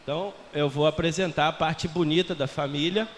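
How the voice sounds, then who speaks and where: A Brazilian man in his forties speaks to a congregation in a jovial, self-mocking, chatty way, with faint traces of a European Portuguese accent. His voice sits somewhat high. A long church reverb trails his words. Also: steady tone, brisk, slight background noise. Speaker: missionary. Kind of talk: church missionary talk. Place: large church hall.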